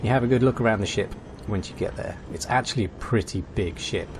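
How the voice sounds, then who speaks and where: Male gaming host, lowish voice, casual guide commentary over faint background noise. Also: fast.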